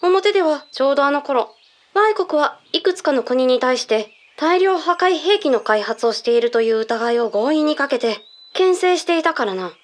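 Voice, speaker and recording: An actress voices a female intelligence agent with a notably high voice. She is brisk and matter-of-fact, laying things out in blunt, mannish phrasing in the manner of a radio drama. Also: animated. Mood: assured, blunt. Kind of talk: Japanese radio drama acting.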